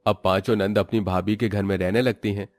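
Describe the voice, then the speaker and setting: A man's voice: on the low side, steady tone, a little fast, no room sound, clean studio sound, soft background music. A man narrating a scripted moral story as its storyteller.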